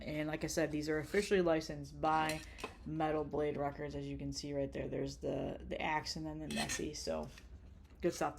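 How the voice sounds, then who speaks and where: A woman's voice: low-pitched, vocal fry, slight background noise. A woman, nasal and congested from a cold. She chats casually on camera, rambling and profane, dropping frequent ums as she shows off her collection. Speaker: vlogger showing her cassette collection. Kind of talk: casual chatty collection vlog.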